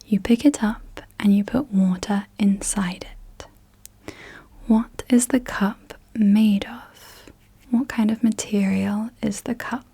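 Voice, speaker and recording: A young woman whispering softly and unvoiced as an ASMR host, gently asking slow questions in a guided visualisation, close to the mic. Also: medium pitch, breathy edge. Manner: soft unvoiced whisper throughout. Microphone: close sensitive mic.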